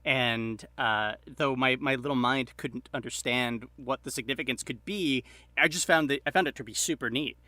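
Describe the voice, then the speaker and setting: A man's voice: mid-range voice, moderate pace, sharp tone, dry recording. A man speaking as a podcast guest in casual, informal, easygoing conversation. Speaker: podcast guest, audio drama creator. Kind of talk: casual chat.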